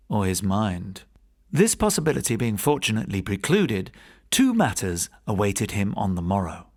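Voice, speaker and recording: A male audiobook narrator tells a gothic story from a script. His voice sits at a medium pitch and moves expressively up and down. The delivery is measured and formal, that of a practised storyteller.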